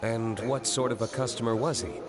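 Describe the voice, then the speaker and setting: A man's voice: mid-range voice, steady tone, normal speed, natural voice. A man playing a composed, formal young aristocratic winery owner, with understated manners, in an anime-style video game. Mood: curious, cool. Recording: game music playing loudly behind.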